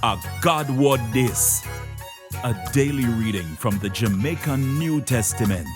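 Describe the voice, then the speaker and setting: A man's voice: medium pitch, unhurried. A man delivering a scripted announcement as the announcer of a programme. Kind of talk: scripted show intro and outro announcement. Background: music.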